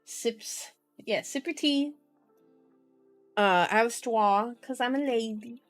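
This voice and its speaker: A woman's voice: a little high, slow pace, soft background music. A woman hosting an ASMR stream, reading a book aloud and chatting to viewers in a playful, cutesy way.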